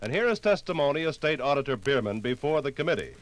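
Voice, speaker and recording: An American man reading a scripted 1950s radio documentary in a formal, measured, authoritative way. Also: medium pitch, big pitch swings. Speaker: narrator. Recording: vintage 1950s radio LP recording.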